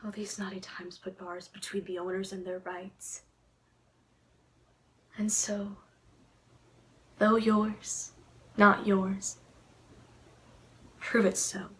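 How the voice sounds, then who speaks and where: A woman's voice: mid-range voice, level tone, slow pace, pauses often, a bit bright, a little hiss or hum. Woman acting a lovestruck young noblewoman in Shakespeare. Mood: hushed, wistful. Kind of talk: Shakespearean verse monologue.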